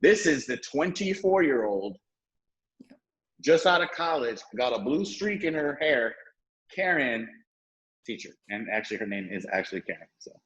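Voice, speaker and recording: A man's voice: medium pitch, big pitch swings, normal speed, a bit bright, poor audio. A man in his thirties from the Southern US, talking casually on a discussion panel, rambling with restarts and fillers. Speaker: panel guest.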